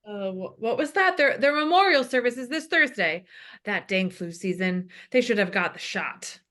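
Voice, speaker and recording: A woman voicing an older, food-loving male pastor with labored breathing, reading halting announcements at a comedy table read. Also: on the high side, expressive, bright, forceful tone. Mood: halting, distracted. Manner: halting, bumbling, muttered asides.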